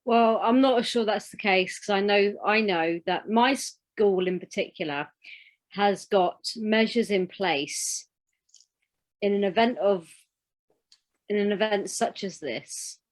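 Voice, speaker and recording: A woman's voice: mid-range voice, slow. A woman in a casual chat, skeptical and teasing, laughing often. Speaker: podcast co-host.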